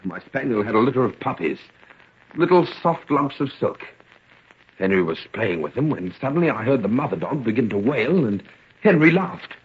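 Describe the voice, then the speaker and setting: A man playing a grave, troubled gentleman father in an old-time radio drama, solemn and melodramatic. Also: mid-range voice, sing-song, normal speed, slight background noise, close to an everyday voice. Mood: grave, haunted. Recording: dull, muffled old radio recording.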